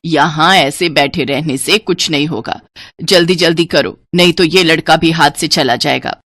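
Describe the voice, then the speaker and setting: A woman's voice: low-pitched, moderate pace, fairly bright tone, no echo, voice pushed down. A woman voicing a cold, bossy middle-aged mother who taunts and commands, in a cartoon moral story. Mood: scolding.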